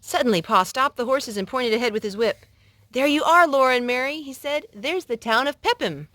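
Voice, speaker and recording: A woman's voice: high-pitched, animated, a little fast, a bit bright, dry recording. A woman reading stories aloud for children, now and then correcting a slip as she goes. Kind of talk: read-aloud children's storytelling.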